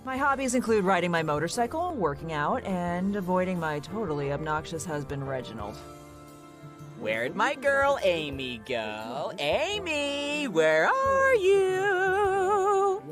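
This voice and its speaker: A woman's voice: mid-range voice, very animated, takes it slowly. A woman playing a brash, defiant, wry wife who is sick of her husband. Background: music.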